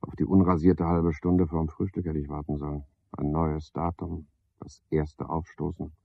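An actor in a radio play voicing a cynical, self-satisfied, talkative night caretaker, with a pompous, sardonic, theatrical manner. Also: male voice, extremely low pitch, little pitch movement, moderate pace, gravelly, breathy edge, no room sound, full character voice. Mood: sardonic, wistful. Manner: pompous, sardonic, theatrical one-sided conversation. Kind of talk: 1970s German radio play monologue. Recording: slightly dull 1970s radio recording.

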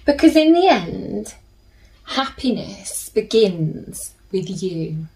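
A woman in her thirties reading a picture book aloud to children in a gentle, deliberate manner, with chatty asides. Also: medium pitch, sing-song, poor audio. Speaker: children's story reader. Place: small plain room indoors.

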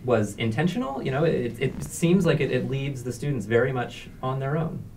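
A man's voice: medium pitch, expressive, normal speed, gravelly, a little mellow. Young male interviewer, slightly distant sound. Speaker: interviewer, co-host. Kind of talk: panel interview questions. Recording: slightly distant, faint background noise.

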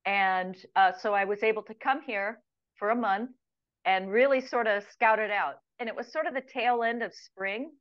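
A woman in her sixties tells her story on a video call in a loose, chatty way, full of ums and restarts. Her voice sits at a middle pitch. The audio is muffled and dry, with no room echo. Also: moderate pace. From United States. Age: 60s. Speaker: guest, retired expatriate. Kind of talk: casual interview chat. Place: home room with plants, indoors. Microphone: video call webcam.

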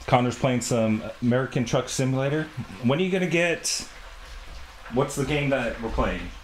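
A man hosts a casual home cooking vlog, talking in short, playful bits at a middling pitch. He sounds a way off from the mic in a roomy kitchen, with loud clatter and sizzling around him. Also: moderate pace, distant mic, slight background noise. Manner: playful, short offhand phrases. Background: frying, dishes and cutlery clatter.

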